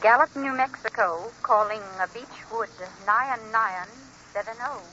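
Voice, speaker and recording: A woman playing a brisk, impersonal long-distance telephone operator in a 1940s radio drama, formal and procedural. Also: a little high, bright, forceful tone. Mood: impersonal, businesslike. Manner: formal, clipped, singsong procedural delivery. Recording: muffled, noisy old radio recording.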